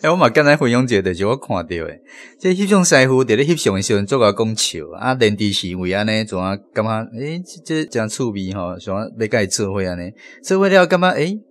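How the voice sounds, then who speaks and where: A man's voice: fairly low pitch, very animated, brisk. A man with a Taiwanese accent giving a casual, spontaneous comment in a reading group.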